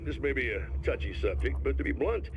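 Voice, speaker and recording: Creaky-voiced radio host. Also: male voice, medium pitch, very animated, a little fast, dull audio, background noise. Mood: awkward. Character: chatty, tactless male radio talk show host. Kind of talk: radio interview banter. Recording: radio show with music behind.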